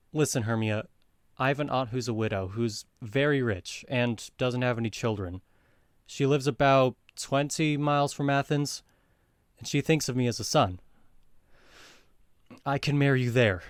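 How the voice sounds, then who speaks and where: A man's voice: medium pitch, no room sound, clean studio sound, close to an everyday voice. A man playing an ardent, reassuring young lover in an audio drama, earnest and theatrical in manner. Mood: tender, eager. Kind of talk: modernized Shakespeare audio drama acting.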